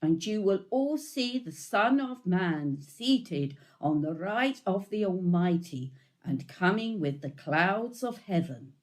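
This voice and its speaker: A woman reading scripture solemnly and deliberately for a church service, giving emphasis to quoted speech. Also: on the low side, a little mellow. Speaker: scripture reader. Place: online video-call service.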